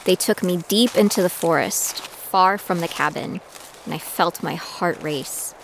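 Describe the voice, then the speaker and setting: A woman's voice: fairly low pitch, measured pace, gravelly, slight background noise, low-quality recording. An actress playing a determined young female bounty hunter in training, narrating her own story in an audio drama. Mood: tense, reflective. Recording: loud music bed behind voice.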